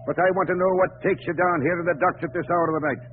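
A man's voice: sits high, steady tone, very fast, close to an everyday voice. Guy doing an old-time radio irish beat cop, folksy and talky, tinny noisy broadcast. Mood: challenging, blustery. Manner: folksy, garrulous, theatrical. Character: chatty, good-natured Irish policeman on night beat. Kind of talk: 1940s radio acting.

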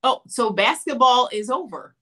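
A middle-aged woman hosts a live online show from a room at home, speaking into her webcam with big, animated energy. Her voice is fairly high and very bright. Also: normal speed. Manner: animated, gestures a lot. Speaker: host. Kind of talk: live video show chat. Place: home room with plant and mannequin.

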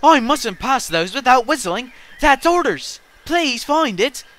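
A man's voice: very high voice, big pitch swings, unhurried, voice pushed up, exaggerated voice. A man voicing a vain, hot-tempered small steam engine from a children's story, spluttering indignantly. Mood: dismayed protest. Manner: spluttering, shouting protests.

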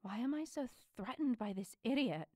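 A woman's voice: fairly high pitch, expressive, normal speed, darkish tone, no room sound, studio quality. A woman playing a guarded, sardonic working woman with a weary, sarcastic manner. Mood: irritated.

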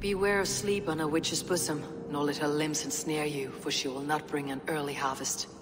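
An actress playing a stern, dry-witted Norse warrior in a video game, speaking in measured, terse phrases. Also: female voice, low-pitched, fairly even intonation, over music, natural voice. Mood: cryptic. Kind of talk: video game cutscene acting. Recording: reverberant, with ambient noise behind.

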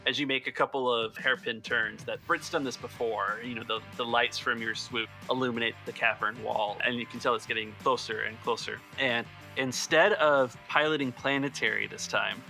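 A man working as game master, narrating improvised scenes descriptively and a little haltingly. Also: mid-range voice, steady tone, creaky, bright, forceful tone, soft background music.

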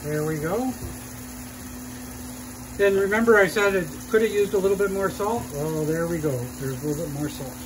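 A man hosting a cooking show, calmly explaining each step as he cooks in a shop demo kitchen. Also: sits high, normal speed, long pauses, noisy background. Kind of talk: casual cooking demonstration.

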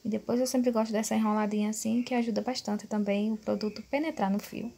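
A woman's voice: fairly high pitch, fairly even intonation, fast talker, vocal fry, mellow, slight background noise. A woman in her thirties from Brazil, talking viewers through her hair-care routine in a chatty, casual way, often asking for agreement. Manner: chatty, addresses viewers as "gente", many fillers like "né". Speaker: beauty vlogger. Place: small bedroom at home, indoors.

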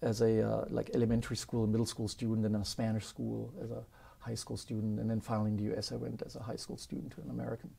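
A man in his fifties with a German accent, telling stories as a guest in a studio interview, animated and quick to laugh. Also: lowish voice, vocal fry, slightly rough. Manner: animated, laughs often, acts out quotes. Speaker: guest, professor. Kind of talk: casual interview storytelling. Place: studio with dark curtain backdrop.

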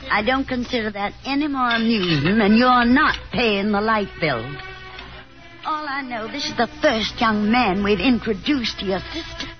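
A woman's voice: fairly high pitch, animated, normal speed, over music, natural voice. A woman playing a chatty, genteel middle-aged Southern mother, fussy and nostalgic, in a 1950s radio drama. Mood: huffy. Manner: garrulous, affected gentility. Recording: muffled, noisy 1950s radio recording.

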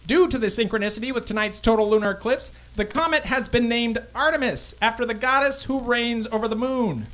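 An older newsman of 1920s radio drama, acted by a woman, pitched very high. The delivery is formal and declamatory, in earnest old-time broadcast style. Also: fairly quick, close to an everyday voice. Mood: formal, measured.

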